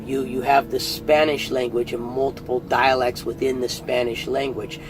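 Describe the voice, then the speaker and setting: A man's voice: medium pitch, flat delivery, normal speed, over music. A middle-aged man giving a casual, earnest spoken review, chatty and often restarting phrases. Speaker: audiobook reviewer.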